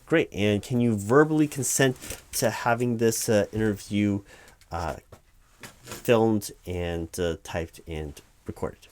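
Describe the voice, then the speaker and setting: Low-voiced man as a flustered, stammering interviewer. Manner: stammering, rambling, officious. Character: flustered male corporate hiring manager, distracted and evasive. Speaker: performer playing a job interviewer. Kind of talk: one-sided comedic ASMR interview roleplay.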